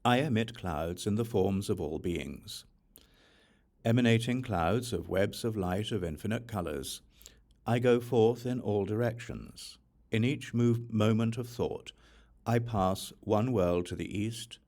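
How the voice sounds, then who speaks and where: A man reads a sacred text aloud as an audiobook, in measured, solemn phrases with deliberate pauses. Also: lowish voice, darkish tone. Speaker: audiobook reader of scripture. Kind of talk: scripted reading of a Buddhist sutra.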